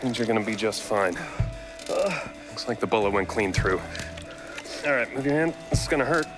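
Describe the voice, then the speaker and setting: An actor playing an unflappable, foul-mouthed former military medic, blunt but reassuring, in a video game drama. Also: male voice, on the low side, lively intonation, normal speed, over loud music, natural voice. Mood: calm, reassuring.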